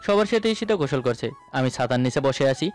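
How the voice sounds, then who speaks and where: A man's voice: medium pitch, animated, fairly quick. A man narrating a scripted romantic story in the first person. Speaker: narrator of romantic audio stories. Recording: voice over background music.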